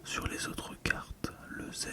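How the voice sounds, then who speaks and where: A middle-aged ASMR host talking through a collectible in a calm, gentle, hesitant way, close to the microphone. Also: slow. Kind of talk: whispered ASMR commentary.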